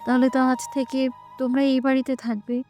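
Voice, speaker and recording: High girlish pitch, woman acting feisty sweet young woman, pouty, romantic audio drama, music behind. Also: level tone, fairly quick, warm tone, close to an everyday voice. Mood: cheerful.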